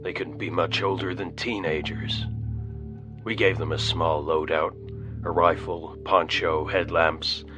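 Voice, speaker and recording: An actor playing a terse military colony officer recording a log in an audio drama, clipped and matter-of-fact. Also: male voice, low-pitched, steady tone, unhurried, over music. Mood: matter-of-fact, grim.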